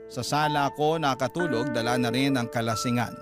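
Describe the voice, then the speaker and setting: A man's voice: medium pitch, fairly even intonation, brisk, natural voice. A man narrating as a remorseful young father, confessing his story earnestly in a radio drama. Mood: sorrowful. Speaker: letter sender narrating. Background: music.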